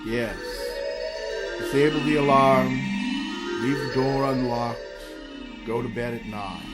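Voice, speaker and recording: A man's voice: medium pitch, steady tone, slow, loud music behind the voice, natural voice. An actor playing an anxious, gullible wealthy man in a melodramatic radio mystery. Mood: entranced, sleepy. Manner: fretful, melodramatic delivery. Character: anxious, gullible wealthy male diamond collector in therapy. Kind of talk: radio mystery drama acting.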